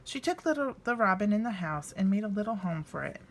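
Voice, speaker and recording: A woman reads a children's story aloud. Her delivery is highly animated, with lively swings in pitch and dramatic pauses for emphasis. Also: a little hiss or hum. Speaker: storybook reader. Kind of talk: expressive children's storybook narration.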